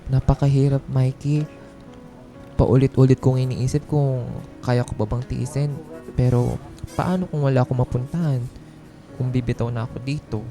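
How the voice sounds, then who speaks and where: A man's voice: medium pitch, steady tone, normal speed, long pauses, dark tone, soft background music, lightly acted. An actor playing a timid, weary male office employee in a radio drama, hesitant and resigned.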